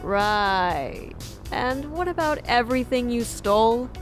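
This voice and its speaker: Tough, highish-voiced policewoman. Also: expressive, slow pace, over music. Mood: sardonic. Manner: firm, dry, sardonic. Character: tough, no-nonsense, sardonic senior policewoman. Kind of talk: audio drama acting.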